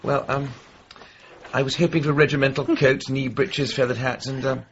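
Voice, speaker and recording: An actor playing a polite, hesitant, fussy gentleman with apologetic delivery and old-fashioned exclamations in a radio comedy drama. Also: medium pitch, flat delivery, moderate pace, natural voice. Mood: awkward. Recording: slightly dull audio, faint background noise.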